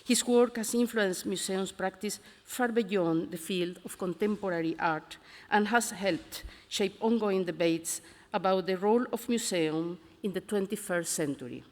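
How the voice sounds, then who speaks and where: A woman introduces a speaker at a conference, reading in a measured, formal way. Her voice is of middle pitch. The sound echoes through a large hall. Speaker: conference host.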